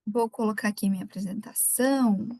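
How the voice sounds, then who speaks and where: A woman's voice: medium pitch, fairly quick, no echo, clean studio sound. A woman from southern Brazil with a light Gaúcho accent, hosting a live webinar from a computer mic and chatting with viewers. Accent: light Gaúcho Brazilian Portuguese. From Rio Grande do Sul, Brazil. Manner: chatty, warm greetings to viewers by name. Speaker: webinar speaker.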